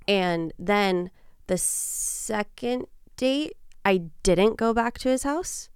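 A woman's voice: medium pitch, lively intonation, slow, smooth, dry recording, clean studio sound. A woman in her thirties, a podcast guest telling dating stories in casual chat, laughing often. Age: 30s. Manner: giggly, storytelling.

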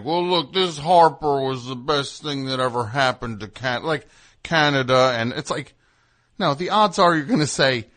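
Excitable rambling male radio host. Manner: excitable, rambling, jumps between high and low. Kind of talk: casual comedic phone chat. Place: radio studio.

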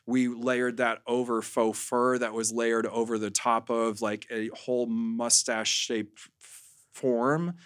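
A man chats as a guest on a podcast. He tells stories casually, leaning on emphatic words and repeats, with a mid-pitched voice. The sound is dry, with no room to it. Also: little pitch movement, slow pace, clear, resonant tone, dry recording. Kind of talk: casual anecdotal interview chat.

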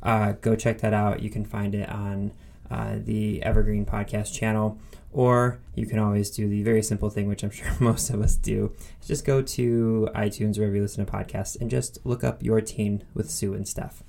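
Creaky, low-voiced man hosting a podcast. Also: flat delivery, moderate pace, dark tone. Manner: enthusiastic, informal. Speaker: podcast host. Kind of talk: casual podcast intro and conversational interview.

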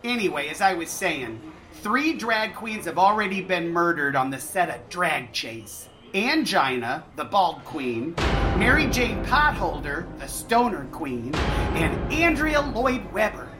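A woman's voice: lowish voice, lively intonation, slow, music in the background. A woman playing a disgruntled, foul-mouthed waitress who narrates a comedy radio play with brash, theatrical asides. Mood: gossipy, dramatic.

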